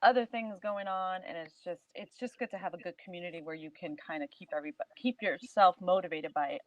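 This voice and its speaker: A woman's voice: on the low side, fast talker, dry recording. A woman co-hosting in casual chat, encouraging and enthusiastic in the way she talks. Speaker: co-host.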